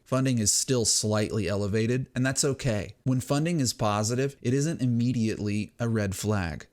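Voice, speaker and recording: Hoarse low-voiced man explaining charts. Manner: steady explanatory delivery walking through charts. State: hoarse, slightly scratchy. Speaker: crypto analysis channel host. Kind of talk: screen-share market analysis talk.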